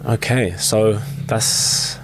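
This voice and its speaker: A young man with a fairly low voice hosts an interview. He keeps the conversation moving with eager, quick follow-up questions. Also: steady tone. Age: young adult. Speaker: podcast host.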